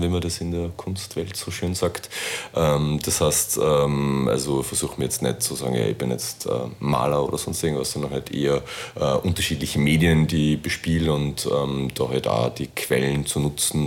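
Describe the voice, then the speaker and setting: A man with an Austrian accent and an extremely low voice. He speaks casually, as a guest in an interview would. His delivery is halting, with many pauses while he looks for the right word. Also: a little mellow. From born in Istanbul, grew up in Linz, Austria. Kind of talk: casual conversational interview talk.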